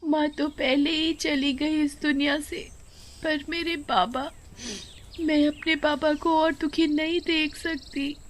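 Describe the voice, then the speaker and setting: Sweet, high-pitched young girl. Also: little pitch movement, slow pace, a little hiss or hum. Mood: tearful pleading. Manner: earnest, pleading. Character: ten-year-old daughter, sweet, caring, tearfully devout girl. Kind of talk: cartoon moral story acting.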